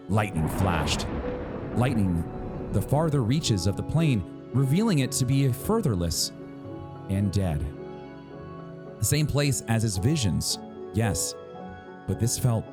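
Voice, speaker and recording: A man reading a story aloud as a dramatic narrator, with suspenseful, deliberate phrasing and pauses. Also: on the low side. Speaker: narrator reading a novel excerpt. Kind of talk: dramatic audiobook-style narration.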